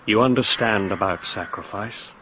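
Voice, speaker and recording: An actor playing a fanatical British killer obsessed with ritual sacrifice, in a solemn, theatrical radio drama style. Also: male voice, fairly low pitch, normal speed, natural voice. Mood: solemn, hypnotic. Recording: muffled radio recording with hiss.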